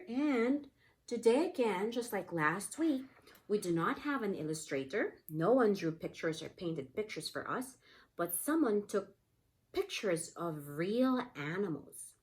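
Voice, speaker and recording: A woman teaching young children in a read-aloud lesson, explaining simply and prompting with repeated questions. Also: mid-range voice. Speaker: pre-K teacher.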